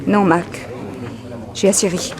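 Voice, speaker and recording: Actress as proud young bride, crowd behind. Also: female voice, medium pitch, flat delivery, slow pace, close to an everyday voice. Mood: offended resolve. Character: indignant jilted bride, proud, articulate. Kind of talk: 1960s French radio drama acting.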